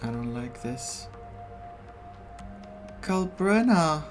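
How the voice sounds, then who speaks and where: Slow-talking man doing game commentary. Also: on the high side, very animated. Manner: musing, halting reactions. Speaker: game streamer. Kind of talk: casual playthrough commentary. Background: game music.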